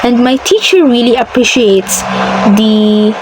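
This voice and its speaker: Slow, careful Filipino woman presenting. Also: a little high. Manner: slow, careful, repeats and corrects herself. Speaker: student presenter. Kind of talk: classroom slide presentation. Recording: noisy with traffic behind.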